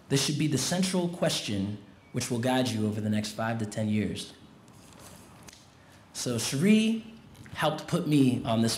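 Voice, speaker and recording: A man in his thirties giving a commencement speech at a podium mic in a big tent, talking in a relaxed, conversational way and getting the crowd involved. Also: mid-range voice. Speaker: commencement guest speaker. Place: large tent at an outdoor graduation. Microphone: podium mic on a stand. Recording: roomy tent sound with faint noise.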